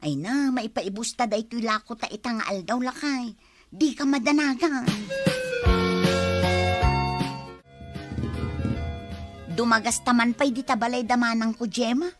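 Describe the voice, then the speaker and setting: An actress with an Ilocano accent playing a plain-spoken fish-vendor sister in a comedy audio drama, reproachful, teasing and expressive. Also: female voice, on the high side, moderate pace, soft background music, close to an everyday voice. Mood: chatty. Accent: Ilocano, Philippines.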